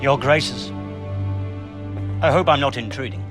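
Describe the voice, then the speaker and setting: A man's voice: medium pitch, a little slow, fairly bright tone, faint music behind, close to an everyday voice. An actor playing a hesitant, deferential and pedantic medieval master craftsman in a game cutscene. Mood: apologetic. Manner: hesitant, fussy, apologetic. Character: nervous, deferential, pedantic medieval coin expert. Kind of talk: dramatic video game cutscene acting.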